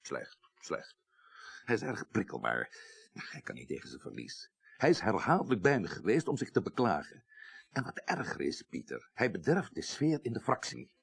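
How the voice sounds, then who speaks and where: An actor playing a shrewd, wry, middle-aged senior politician in a radio drama, speaking Netherlands Dutch with a gravelly, urbane delivery. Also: male voice, lowish voice, huge pitch swings, normal speed, dry recording, heavily acted. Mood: frank, reflective. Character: shrewd, worldly male senior politician, wry party leader. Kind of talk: 1980s radio drama acting.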